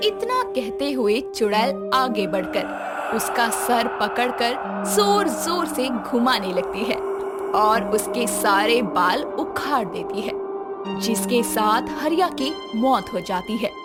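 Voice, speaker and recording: A woman narrates a scripted story at a slow pace. Her voice is fairly high and swings expressively. Loud music plays underneath. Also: big pitch swings.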